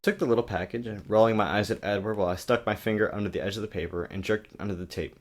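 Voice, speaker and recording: A man's voice: on the low side, fairly even intonation, quick pace. Sarcastic man doing a comic book reading. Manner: exaggerated, sarcastic asides and outbursts. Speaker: comic reader of a novel. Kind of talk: parody dramatic reading with commentary. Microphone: webcam microphone. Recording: choppy cheap webcam recording.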